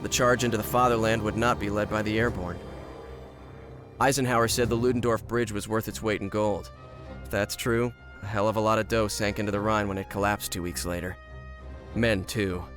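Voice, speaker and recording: A man's voice: fairly low pitch, steady tone, moderate pace, fairly bright tone, soft background music. An actor playing a wry, plainspoken American paratrooper who narrates his war in a reflective, matter-of-fact way. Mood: sombre, reflective. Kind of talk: scripted first-person war narration.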